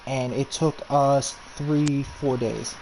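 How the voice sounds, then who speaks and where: A man's voice: mid-range voice, fairly even intonation, slow pace, a little mellow, slight background noise, low-quality recording. A man in his early twenties from New York, telling crude, boastful stories in a casual voice chat with lots of restarts. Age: 20s. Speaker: gamer in voice chat.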